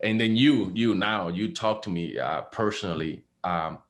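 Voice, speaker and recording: A middle-aged man hosts an interview, his voice sitting a bit low. He asks questions haltingly, stumbling over repeated words and restarting his sentences as he goes. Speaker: podcast host. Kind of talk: podcast interview.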